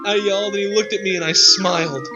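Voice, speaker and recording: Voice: medium pitch, fairly even intonation, fast, muffled recording, over music. A streamer reading fan fiction aloud as a comic dramatic reading, amused and breaking off to react with casual asides.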